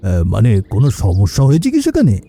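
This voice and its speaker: A man acts a friendly, frank town trader in a cartoon. His medium-pitched voice is very dark and warm in timbre, with animated intonation. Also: fast talker, breathy edge, exaggerated voice. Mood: helpful. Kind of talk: cartoon dialogue.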